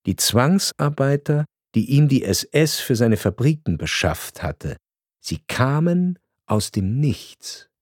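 A man reading a scripted radio narration in a grave, measured storytelling manner. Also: medium pitch, very animated, breathy edge, no echo, clean studio sound.

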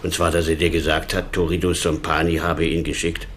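An actor playing a concerned, moralising police boss in a radio crime drama, admonishing and insistent. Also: male voice, a bass voice, little pitch movement, a little fast, fairly bright tone, slight background noise. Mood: serious.

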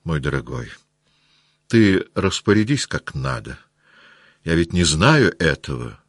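A man's voice: extremely low pitch, wildly swooping pitch, moderate pace, slightly rough, no room sound, studio quality, voice pushed down, heavily acted. A man doing a weary older countess. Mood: subdued.

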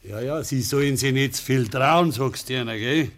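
An actor playing a proud, grumpy middle-aged farmer in a radio drama, speaking firm, insinuating Bavarian dialect. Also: male voice, medium pitch, normal speed, fairly bright tone, natural voice. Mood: stern, warning. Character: proud, grumpy farmer father, guarded and warning.

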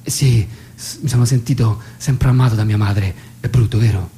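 A man's voice: lowish voice, moderate pace, dark tone, natural voice. An eager, clumsy young dad in a radio play, acted by a man. He speaks as if on a phone call, hesitantly repeating himself and anxious to please. A faint hum sits behind the voice. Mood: earnest. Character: eager, awkward, inexperienced new father on the phone. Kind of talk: theatrical radio monologue, staged phone dialogue. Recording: faint hum and background noise.

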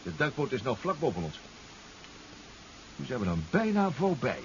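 An actor playing a confident, reassuring professor who built a submarine, speaking with assured precision in a 1970s radio drama. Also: male voice, medium pitch, huge pitch swings, moderate pace. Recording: muffled, noisy old 1970s radio recording.

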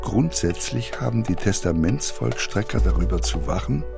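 Elderly industrialist founder, played by a male actor: earnest and formal, low creaky delivery, documentary reading. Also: expressive, music in the background. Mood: solemn, earnest. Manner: deliberate, formal, solemn. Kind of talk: dramatised reading of historical letters and testament.

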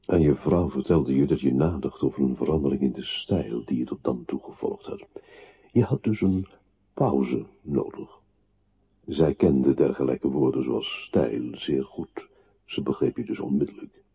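An actor playing a narrating voice in a literary radio play that recounts a composer's decline into silence. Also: male voice, a bass voice, animated, measured pace, gravelly, husky, heavily acted. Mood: knowing, wry. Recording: muffled, noisy old radio recording.

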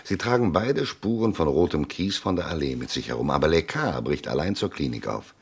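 Gravelly, very low-voiced police chief. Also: male voice, lively intonation, exaggerated voice. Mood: pondering, shrewd. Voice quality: gravelly, husky. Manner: terse, deliberate, pondering. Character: shrewd, gruff police chief investigating a murder. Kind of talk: German radio crime drama acting.

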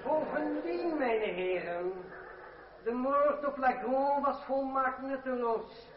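An actor playing a defiant, eloquent revolutionary deputy with formal, sardonic rhetoric in an old radio drama. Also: male voice, extremely high pitch, takes it slowly, distant mic. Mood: indignant. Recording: dull old radio recording, echoey hall, crowd. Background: noisy assembly crowd.

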